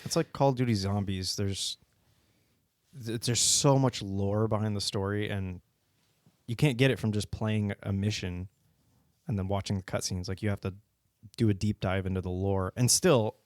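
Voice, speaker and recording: A man's voice: on the low side, moderate pace, a little mellow, dry recording, clean studio sound. A man hosting a casual podcast chat who laughs often and swears offhandedly. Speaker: podcast host.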